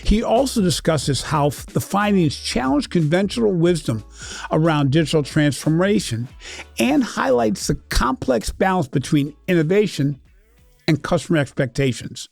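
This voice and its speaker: Man, podcast host, animated delivery, gravelly, slightly high voice, faint music behind. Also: normal speed. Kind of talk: scripted podcast intro and interview questions.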